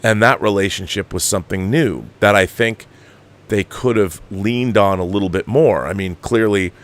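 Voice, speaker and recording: A man's voice: lowish voice, moderate pace. Exasperated man ranting about films. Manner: exasperated, emphatic, profane. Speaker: host of a film commentary show. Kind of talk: opinionated movie review rant.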